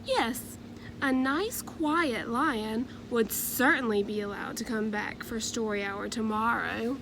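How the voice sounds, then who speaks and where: A woman's voice: a little high, slow, background noise. A woman voicing a strict female head librarian from a children's storybook, stern and commanding about the rules. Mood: firm, accepting.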